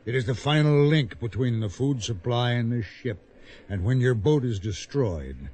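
A man's voice: medium pitch, expressive, darkish tone, a little hiss or hum, natural voice. An actor playing a smug, cruel villain in a radio drama, mockingly polite and condescending as he drawls his lines. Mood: smug menace. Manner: slow, drawling, chuckling condescension.

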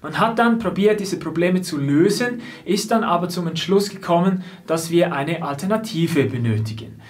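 A young man tells a tour story straight to camera in a small indoor room. His voice sits high for a man, and he talks calmly in an explanatory way, slipping in soft laughs. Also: moderate pace. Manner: calm, explanatory, soft laughs between phrases. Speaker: drummer and vlogger. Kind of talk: seated piece-to-camera storytelling. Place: small indoor set with lamp and painted backdrop. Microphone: fixed camera, seated framing.

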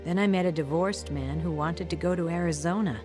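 A reflective, sober woman played by an actress tells her life story as radio drama narration. Her voice is slightly low and measured, over a music bed. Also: close to an everyday voice. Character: woman soberly recounting her troubled, lonely youth. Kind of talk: scripted first-person radio drama narration.

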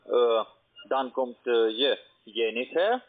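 A man with a foreign accent in German, a non-native speaker giving instructions in halting, broken sentences on a phone call. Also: mid-range voice, animated. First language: not German. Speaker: phone scam caller posing as payment agent. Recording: muffled narrowband phone line.

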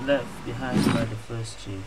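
A man's voice: mid-range voice, steady tone, unhurried, heavy background noise. A man giving casual gameplay commentary, muttering to himself and repeating words as he plays. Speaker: gamer playing and commenting. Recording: loud game music behind the voice. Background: video game music.

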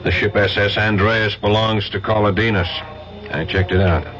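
An actor playing a stern, bitter federal detective in a 1970s radio drama. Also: male voice, lowish voice, steady tone, moderate pace, a bit bright, natural voice. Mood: cold, bitter. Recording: dull, noisy old radio recording.

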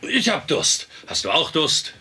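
A voice actor playing a gruff, flustered middle-aged husband and father in a dubbed sitcom, blustering and evasive. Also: on the high side, big pitch swings, unhurried, bright, forceful tone, a little hiss or hum, full character voice. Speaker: voice actor in a German sitcom dub.

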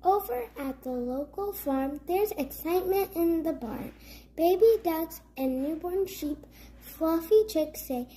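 A young child reads a rhyming storybook aloud in a high voice. The pace is slow, with careful word-by-word phrasing, like a host at story time for little ones. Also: lively intonation, dark tone. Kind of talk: read-aloud of a children's rhyming picture book.

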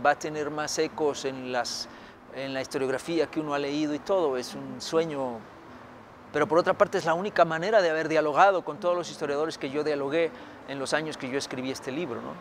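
Middle-aged man from Mexico, slightly high voice, reflective interview answers with hesitations, faint traffic outdoors. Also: steady tone, normal speed, fairly bright tone. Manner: thoughtful, many fillers and restarts. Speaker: historian author. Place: outdoors by a building.

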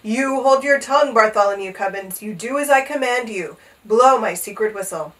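A woman's voice: fairly high pitch, normal speed, fairly bright tone, recorded from a distance, lightly acted, rough recording. A woman voicing a short-tempered, pompous old king in a children's storybook, growling out commands. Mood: excited commanding. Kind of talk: animated children's storybook read-aloud.

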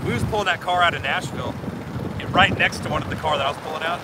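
A man's voice: fairly high pitch, racing. A middle-aged man chatting as a guest on a boat, joking and rambling through his stories. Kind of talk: casual chat. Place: small boat on a lake, outdoors. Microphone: phone camera a few feet away. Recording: wind and water noise from a distance.

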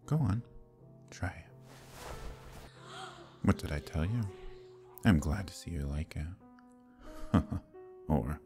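A man acts out a proud fae prince with an extremely low, gravelly voice. He speaks in archaic, courtly phrases, husky and intimate, teasing his beloved in an ASMR fantasy roleplay over faint music. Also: animated, warm tone, heavily acted. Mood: proud, devoted. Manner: courtly, measured, dropping into whispers.

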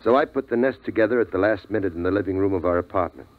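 A man's voice: sits low, very fast. A man playing a grave, weary middle-aged father, a survivor telling his story solemnly in a 1950s radio drama. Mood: grave, reflective. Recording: thin, phone-like vintage radio recording.

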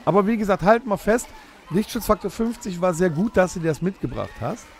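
A man's voice: high-pitched, expressive, brisk, a little mellow, slight background noise. A man in his forties, a podcast host, bossily lecturing his friends in an improvised comedic audio play. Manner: know-it-all lecturing, bossy.

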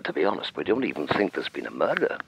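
Senior police chief, dry, weary, deep, creaky voice, radio drama. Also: male voice, big pitch swings, quick pace, a bit bright, slight background noise, exaggerated voice, low-quality recording. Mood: dry, unamused.

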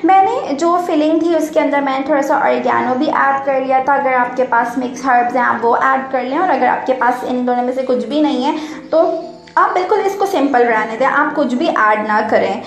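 High-voiced chatty woman in echoey kitchen. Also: normal speed. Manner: chatty, explains steps to viewers. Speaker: home cooking vlogger. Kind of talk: casual cooking voice-over. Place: home kitchen.

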